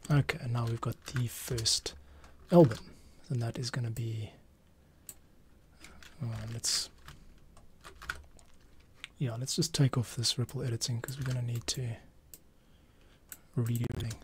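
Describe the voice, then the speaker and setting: A man's voice: mid-range voice, fairly even intonation, a little slow, pauses often, slight background noise. Middle-aged man muttering over keyboard clicks. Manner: muttering to himself, thinking aloud, drifting into near-whispers. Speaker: podcast editor and host. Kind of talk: casual live-stream commentary while editing audio. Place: small home studio room, indoors.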